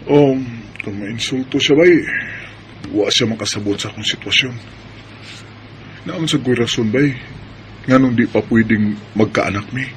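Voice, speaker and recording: A man's voice: medium pitch, normal speed, pauses often, natural voice. A man acting a devoted but evasive young boyfriend in a Filipino radio drama. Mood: defensive. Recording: noisy amateur recording.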